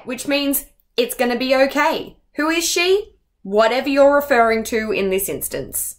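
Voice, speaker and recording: A young woman speaks with a clear Australian accent, her voice sitting a touch higher than most. She talks in a casual vlog monologue, explaining things with a dry, wry edge. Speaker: vlogger.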